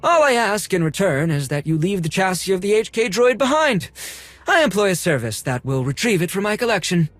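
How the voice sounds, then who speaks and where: A man's voice: sits high, very animated, a little fast, dry recording. A man playing a fussy, pompous and nervous collector of rare droids in a theatrical video game style. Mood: scheming, pompous. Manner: pompous, theatrical, flustered under pressure. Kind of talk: video game cutscene acting.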